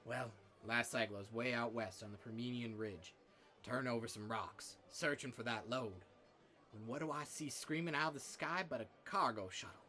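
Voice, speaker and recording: Casting: male actor for space-western tipster, folksy and sly, bright mid-range voice, conversational. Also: medium pitch, normal speed, natural voice. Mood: confiding. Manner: conversational, coy storytelling. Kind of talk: naturalistic film dialogue.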